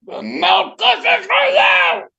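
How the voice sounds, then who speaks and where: A woman doing a cocky high-pitched talking frog hustler. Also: sing-song, drawn-out words, creaky, bright, forceful tone, shouting, dull audio, heavily acted. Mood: taunting. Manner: brash, cajoling, streetwise patter. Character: cocky, wheedling male talking frog, sly sweet-talking bargainer. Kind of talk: live storytelling of a fairy tale.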